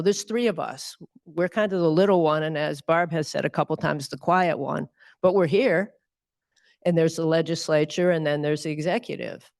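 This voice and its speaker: A middle-aged woman judge answering audience questions in a relaxed, wry, conversational way. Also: deep voice, no echo, clean studio sound. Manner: relaxed, wry, with light laughs.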